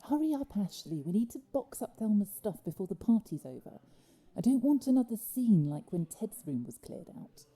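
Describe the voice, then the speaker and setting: Cold bossy care home manager, really dark husky voice, curt, audio drama. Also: female voice, medium pitch, very animated, normal speed. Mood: impatient. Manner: curt, commanding. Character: cold, domineering female care home boss. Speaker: actor.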